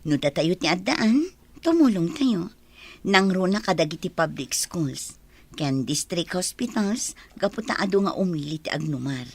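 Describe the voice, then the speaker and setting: Husky, devoted wife. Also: female voice, fairly low pitch, expressive, normal speed. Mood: encouraging. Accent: Ilocano. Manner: affectionate, encouraging. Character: supportive, devoted wife of a charitable public figure. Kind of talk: Ilocano radio drama acting.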